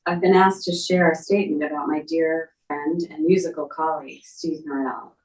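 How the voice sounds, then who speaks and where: Middle-aged lady reading a tribute at a memorial, kinda low, echoey and far from the mic. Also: a little mellow. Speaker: memorial speaker, relative of the family. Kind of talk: reading a written tribute aloud. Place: echoey indoor memorial gathering. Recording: echoey room, distant microphone.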